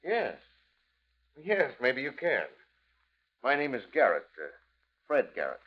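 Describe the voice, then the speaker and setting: Sly American blackmailer, lively pitch. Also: male voice, slow pace, heavily acted. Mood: feigned politeness. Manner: drawling hesitations, knowing, insinuating. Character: sly, insinuating male blackmailer, coolly needling. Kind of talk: 1950s radio drama acting. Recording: dull, lo-fi 1950s radio recording.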